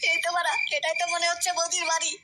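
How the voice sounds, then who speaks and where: Very high, squeaky horny cartoon guy. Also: monotone, racing, very noisy. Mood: flirty, boastful.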